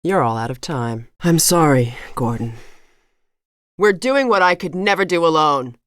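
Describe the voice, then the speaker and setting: A woman's voice: low voice, lively intonation, measured pace, no echo, studio quality. An actress playing an earnest, composed middle-aged woman physicist in a video game, speaking precisely and a little formally. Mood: firm, regretful. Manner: precise, articulate, slightly formal. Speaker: scientist character in a video game. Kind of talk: scripted video game voice acting.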